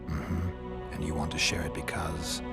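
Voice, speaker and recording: A very low, gravel-voiced monster hunter from a fantasy game. He is terse, skeptical and dryly unhurried, with clear music playing behind him. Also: completely flat delivery, full character voice. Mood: dry skepticism. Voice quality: gravelly, very deep. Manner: laconic, dry, unhurried. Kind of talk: video game cutscene dialogue.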